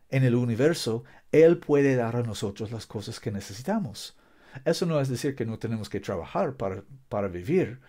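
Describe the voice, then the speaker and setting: A man's voice: medium pitch, mellow. A man preaching a sermon in Spanish, which is not his first language, repeating himself emphatically with frequent restarts. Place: home in Costa Rica.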